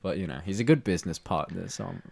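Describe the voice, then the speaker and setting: Deep-voiced young man, joking chat. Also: lively intonation, fast, gravelly, a little mellow, slight background noise. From Chile. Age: young adult. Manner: joking, mock-grand. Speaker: podcast co-host. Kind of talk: casual chat.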